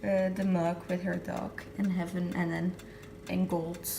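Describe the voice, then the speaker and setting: A young woman in her twenties with a slightly raspy just-woken voice and hiccups, chatting casually while showing gifts, recorded on a handheld camera. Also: on the low side, flat delivery, a little slow, warm tone, roomy sound, slight background noise. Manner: chatty, self-narrating while wrapping gifts. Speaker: vlogger. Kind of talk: casual vlog chat. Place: home kitchen and living room.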